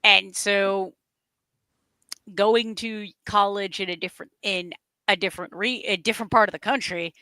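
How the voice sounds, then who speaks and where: Bright-voiced chatty New England woman. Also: mid-range voice, fairly even intonation, normal speed, clean tone, no room sound. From New England, near the New Hampshire border. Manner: chatty, stumbles and restarts often. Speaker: co-host. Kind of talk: casual chat on a live stream.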